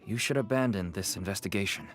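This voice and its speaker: A man's voice: on the low side, fast, faint music behind, lightly acted. A man playing a shifty middle-aged smuggler and doting father in a video game, pleading and persuasive. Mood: earnest, cautioning. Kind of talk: English video game voice acting.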